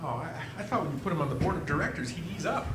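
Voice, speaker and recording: A man playing an eager, restless young dreamer in a live radio play, boyish, enthusiastic and a little halting. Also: on the high side, animated, lightly acted. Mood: earnest, concerned. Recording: echoey room, faint noise.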